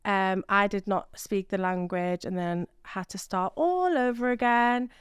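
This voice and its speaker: A woman's voice: medium pitch, unhurried, clear, resonant tone, no echo, clean studio sound. A young woman from Iraq, a guest on a podcast, chatting casually with lots of fillers and "you know what I mean". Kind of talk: casual interview chat.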